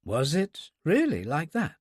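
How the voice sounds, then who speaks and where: A man's voice: on the high side, wildly swooping pitch, unhurried, husky, no room sound, studio quality, pitched above the performer's own voice, full character voice. A man voicing a poised, curious, educated woman with an understated manner in an audiobook story.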